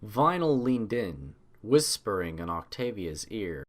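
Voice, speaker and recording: A story narrator with a very animated delivery, reading scripted prose. The intonation rises and falls widely from phrase to phrase. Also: deep voice, slow.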